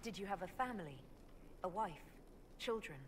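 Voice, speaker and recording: A woman voicing a calm, probing female game hero who questions a companion. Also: mid-range voice, normal speed, slight background noise, lightly acted.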